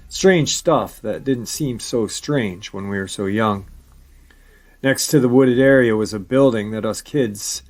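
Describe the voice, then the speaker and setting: A man hosting a paranormal channel, reading viewer-submitted encounter stories aloud in a steady storytelling manner with brief casual asides. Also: fairly low pitch.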